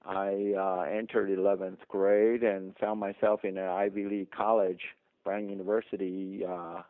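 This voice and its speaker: A man's voice: low voice, little pitch movement, moderate pace, no echo. A middle-aged man from Taiwan with a Taiwanese accent, a dentist explaining things emphatically in an interview. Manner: emphatic, explanatory, chuckles often. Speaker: dentist guest. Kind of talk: interview conversation. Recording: dry tinny phone line.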